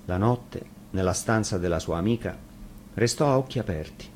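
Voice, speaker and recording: A man's voice: deep voice, normal speed, darkish tone, slight background noise. A man reading a fairy tale aloud as a storyteller, in scripted narration.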